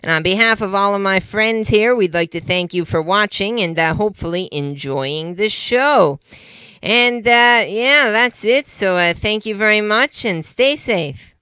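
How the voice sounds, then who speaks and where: Genial puppet emcee for children's show, played by a man, high voice, courteous and halting. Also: pushed and bright, clean tone, no echo. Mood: polite, grateful. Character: bow-tied male puppet show host, genial and polite.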